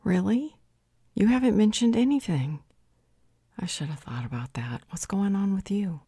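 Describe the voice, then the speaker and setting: A woman playing a thoughtful, strong-willed vampire, a werewolf's mate, speaking in a measured, dryly assertive way in a roleplay audio drama. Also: medium pitch, animated, warm tone, breathy edge, dry recording, studio quality. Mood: apologetic, concerned.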